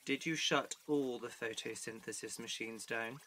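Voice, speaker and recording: A man's voice: mid-range voice, normal speed, pushed and bright. A man playing a fussy, officious botanist in an audio drama, formal and stiff but easily flustered. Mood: stern, alarmed. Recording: music behind the voice.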